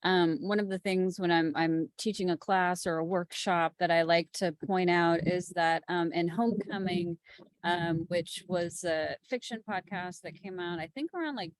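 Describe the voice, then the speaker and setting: A woman's voice: lowish voice, moderate pace, no room sound. A woman explaining her craft as a producer on a panel, informal and tentative in her phrasing. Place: remote call.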